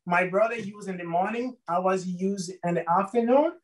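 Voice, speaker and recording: A man from Brazil with a Brazilian Portuguese accent, a guest telling his life story in an interview, halting and searching for words in English. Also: sits high, recorded from a distance.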